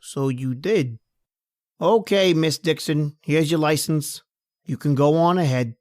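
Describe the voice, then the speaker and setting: A man's voice: on the high side, a little slow, a little mellow, natural voice. A man playing a stern, suspicious state trooper in an old-time radio drama, clipped and authoritative.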